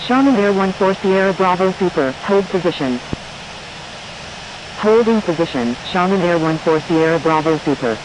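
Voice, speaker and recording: A man's voice: high-pitched, lively intonation, moderate pace. A man speaking clipped, procedural air traffic control phraseology as a radio operator.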